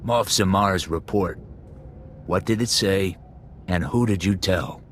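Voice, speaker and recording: A hard-edged male enforcer in a video game. He talks low and bluntly, issuing orders with businesslike menace over faint ambient noise. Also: takes it slowly. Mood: menacing, curt. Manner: curt, menacing, matter-of-fact. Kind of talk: video game drama acting.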